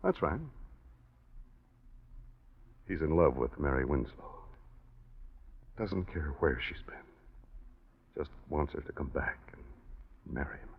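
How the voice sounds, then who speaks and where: A man's voice: rumbling low, slow pace, gravelly, pauses often, husky, exaggerated voice. An actor playing a laconic, kindly American private investigator in a 1940s radio drama, in an understated, casual tough-guy manner. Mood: gentle, low-key. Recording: dull 1940s radio recording.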